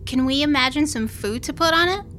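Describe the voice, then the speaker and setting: High-voiced, sardonic space captain. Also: female voice, animated, fairly quick, fairly bright tone, slight background noise. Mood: sarcastic. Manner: sardonic, blunt, mocking. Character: brash, sarcastic, foul-mouthed female space captain. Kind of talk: audio drama acting.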